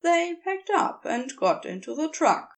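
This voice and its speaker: A woman reading scripted fiction as a narrator, in an expressive storytelling style. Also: high voice, a little slow. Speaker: podfic narrator.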